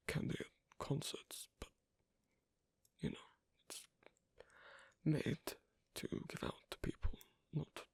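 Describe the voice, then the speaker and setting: A performer voicing a shy, awkward, asocial young werewolf in an intimate ASMR roleplay, speaking softly and haltingly in a breathy, husky near whisper. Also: medium pitch, dry recording, exaggerated voice. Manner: hesitant, halting, soft-spoken with long pauses.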